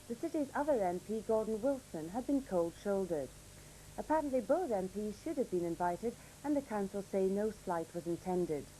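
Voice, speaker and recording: A woman newsreader delivers a scripted regional bulletin in a mellow, mid-pitched voice. She is formal and measured, recorded on an old off-air videotape with faint noise. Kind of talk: scripted regional news and weather reading. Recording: noisy old VHS off-air tape.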